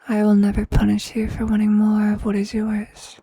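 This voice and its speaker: A performer voicing a tender, possessive, motherly vampire in a soft, breathy whispered ASMR roleplay, speaking slowly and intimately in formal, poetic phrases. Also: medium pitch, completely flat delivery, dry recording.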